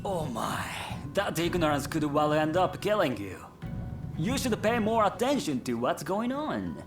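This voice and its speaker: A high, swooping young samurai swordsman for a video game. He is cocky and playful, teasing with theatrical flair. Music plays behind him. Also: wildly swooping pitch, unhurried, exaggerated voice. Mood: teasing, amused. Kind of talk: English game voice acting, cutscene dialogue.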